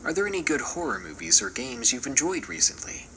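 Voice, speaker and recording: A man's voice: medium pitch, very animated, a little fast, sharp tone, slight background noise, rough recording. A man doing scripted, theatrical narration for a horror channel in a playful, dramatic manner.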